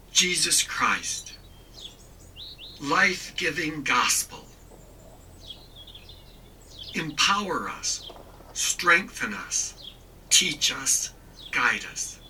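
Slow, meditative male prayer voice. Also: a little high, big pitch swings, distant mic, a little hiss or hum. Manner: slow, meditative, softly whispered at times. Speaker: prayer leader. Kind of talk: contemplative prayer.

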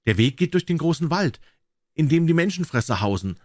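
Young enchanted princess for a storybook, played by a male reader: high, earnest, plaintive, softly urgent. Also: very animated, fairly quick, no echo, studio quality. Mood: urgent warning. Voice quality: soft. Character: pleading young enchanted princess, captive of a witch. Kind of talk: fairy tale character voice.

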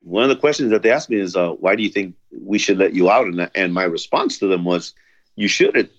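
Low-voiced man telling his story. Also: lively intonation, fairly quick, dull audio. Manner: earnest, plain storytelling with many restarts. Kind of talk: personal testimony interview.

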